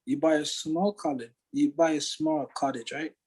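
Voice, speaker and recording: A young man chatting casually in a slightly squeaky, husky voice, animated and slangy, often repeating himself. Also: normal speed, poor audio. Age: young adult. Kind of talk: casual chat.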